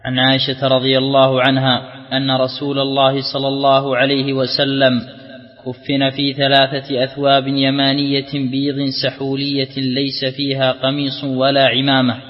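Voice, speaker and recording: A man reading a religious text aloud formally, in measured recitation as a student reader. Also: mid-range voice, monotone. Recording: dull, reverberant hall recording.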